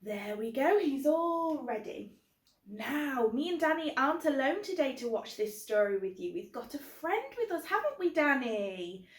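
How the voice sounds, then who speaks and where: British female children's host, fairly high voice, simple direct address, roomy echo. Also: big pitch swings, moderate pace. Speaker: host of a children's book club. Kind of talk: children's presenting. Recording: echoey room.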